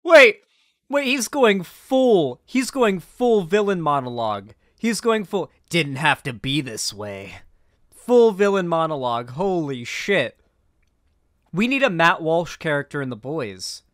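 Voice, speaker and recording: A man's voice: fairly high pitch, sing-song, measured pace, fairly bright tone, dry recording. A young man giving casual, opinionated reaction commentary as a streamer, speaking into a close mic indoors. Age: young adult.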